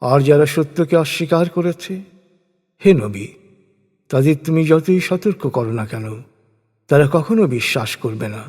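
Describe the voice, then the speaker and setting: Male narrator for solemn scripture reading: husky tone, deliberate phrasing, long reverberant tail on the voice. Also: a little high, expressive, darkish tone. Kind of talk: solemn scripted religious recitation of translated scripture and commentary.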